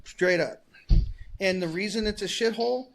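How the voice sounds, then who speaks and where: A man in his forties holds forth in a loose podcast conversation. His voice sits a little high, and he talks bluntly, swears freely and keeps restarting his sentences. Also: moderate pace, gravelly, poor audio. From United States. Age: 40s. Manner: rambling, restarts often, blunt and profane. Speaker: podcast co-host. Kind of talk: casual political chat.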